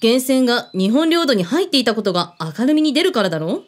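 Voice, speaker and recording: A woman's voice: fairly high pitch, big pitch swings, fast. A woman playing a shrewd, skeptical former agent in a radio drama, with terse, mannish phrasing and probing questions. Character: shrewd, skeptical female ex-agent in a protection business. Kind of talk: Japanese radio drama acting.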